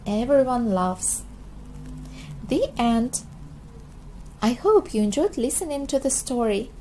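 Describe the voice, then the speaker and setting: A woman narrating a children's storybook in a scripted, expressive read-aloud style for very young listeners. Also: on the high side, slight background noise, poor audio. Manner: slow, expressive delivery for small children.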